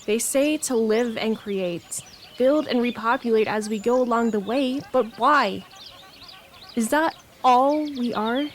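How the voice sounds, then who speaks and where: A woman reading reflective poetry in a contemplative manner with measured phrasing. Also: a little high, slight background noise. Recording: noticeable outdoor ambience with birdsong. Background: nature ambience, birds.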